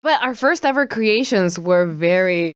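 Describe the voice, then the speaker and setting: A young woman from Mexico, Spanish her first language, speaking English as an interview guest, eager and quick to agree and add on. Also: mid-range voice, lively intonation, clean tone, dry recording, muffled recording. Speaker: band member, interview guest. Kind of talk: casual interview chat.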